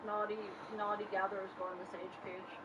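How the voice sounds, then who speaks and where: Woman chiming in w short teasing lines, mid pitch, soft hiss behind. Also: flat delivery, a little fast, poor audio. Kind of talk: casual chat.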